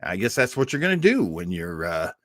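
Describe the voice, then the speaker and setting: Animated, rambling man hosting informally. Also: low voice, a little fast, dry recording, studio quality. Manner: rambling, enthusiastic storyteller. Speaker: host of an old radio and film show. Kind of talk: informal, unscripted talk on film history.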